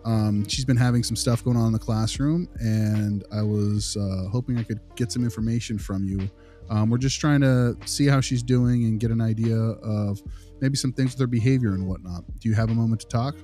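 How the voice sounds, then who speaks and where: A man's voice: lowish voice, fairly even intonation, moderate pace, mellow, soft background music. A man speaking as a behavior analyst in an improvised phone role-play, polite and explanatory with hesitant phrasing. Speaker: behavior analyst, podcast host.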